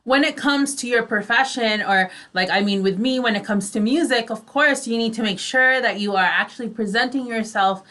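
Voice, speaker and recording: A woman's voice: fairly high pitch, normal speed, few pauses, sharp tone. A woman co-hosting a radio talk show in a casual, chatty and giggly way.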